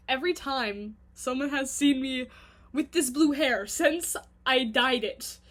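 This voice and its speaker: A young woman with a Canadian accent rambles to her camera at home, chatty and self-mocking. Her voice sits high, and quick giggly asides break up her talk. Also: fairly bright tone. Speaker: vlogger. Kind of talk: rambling casual monologue to camera.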